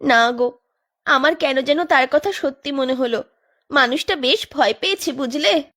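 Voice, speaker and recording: A woman's voice: high-pitched, measured pace, a bit bright. A woman playing a worried young village wife in a cartoon, talking anxiously to her husband.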